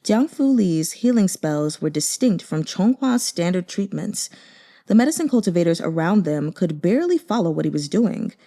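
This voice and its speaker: A woman reading a novel aloud as an audiobook narrator, in expressive scripted storytelling. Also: on the low side, normal speed. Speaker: audiobook reader.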